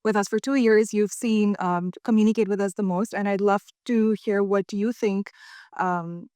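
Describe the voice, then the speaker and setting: A young woman hosts a panel interview in a clean, dry studio sound. Her voice is clear and sits at a middle pitch. She phrases things haltingly as she moves between remarks and questions. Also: steady tone, a little mellow, dry recording. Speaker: host, interviewer. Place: indoor studio, seated panel on sofas.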